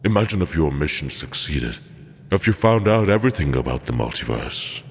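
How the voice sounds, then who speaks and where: An actor playing a mysterious, all-knowing mentor entity in an audio drama, speaking in a solemn, measured, philosophical way with reverb on the voice. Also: male voice, rumbling low, sing-song, full character voice. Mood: wise, probing. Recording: cavernous long reverb, faint music behind.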